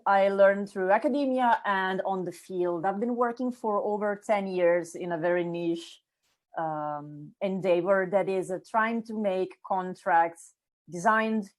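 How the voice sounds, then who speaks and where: A middle-aged woman with an Italian accent presents her upcoming course in an informal, eager way. She speaks at a medium pitch and often pauses on "uh" and restarts her sentences. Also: a little mellow. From Italy. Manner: lots of fillers and restarts, enthusiastic. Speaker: course instructor. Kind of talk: casual spoken course introduction.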